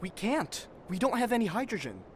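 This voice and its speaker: A man's voice: sits high, sing-song, brisk, a little hiss or hum. A man playing an easygoing, candid spaceship crewmate in an audio drama, casual and reassuring. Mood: uneasy.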